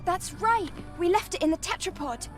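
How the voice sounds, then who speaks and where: An actress playing an eager, devout young female tech engineer in a video game, expressive and earnest. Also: extremely high pitch, normal speed, distant mic, faint music behind. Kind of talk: video game character acting.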